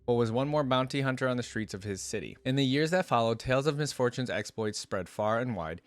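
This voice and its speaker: A man's voice: mid-range voice, expressive, brisk, no echo. A man hosting a reaction video in a casual, informal way, moving between reading aloud and musing on what he reads. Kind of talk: casual reaction commentary mixed with read-aloud story text.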